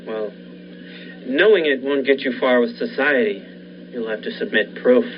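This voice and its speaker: A young man playing a cautious, morally troubled surgeon in a 1940s-style radio thriller, hesitant and measured. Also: medium pitch, close to an everyday voice. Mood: doubtful. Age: young adult. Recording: dull lo-fi recording with faint noise.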